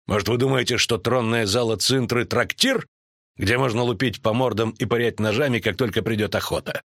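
A gruff islander lord, played by a male narrator, speaks calmly with a stern bite. His voice sits a little low and is recorded dry, as in a fantasy audiobook. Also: normal speed, no echo. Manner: calm, sullen, biting.

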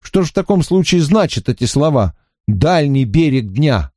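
A man's voice: a little high, sing-song, moderate pace, darkish tone, no echo, studio quality. Guy doing a chatty young wizard student, fired up, voice bounces up and down. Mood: musing. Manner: earnest, fervent, slightly mocking. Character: eager talkative young male wizard student.

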